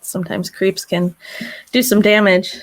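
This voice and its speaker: A woman's voice: mid-range voice, flat delivery, unhurried, rough recording. A woman speaking casually as a member of an interview panel. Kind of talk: casual conversation.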